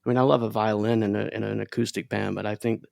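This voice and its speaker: A man's voice: on the low side, little pitch movement, fast talker, creaky, darkish tone, no echo, clean studio sound. A man hosting a casual interview conversation, enthusiastic and complimentary, often stumbling and repeating words. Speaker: podcast host.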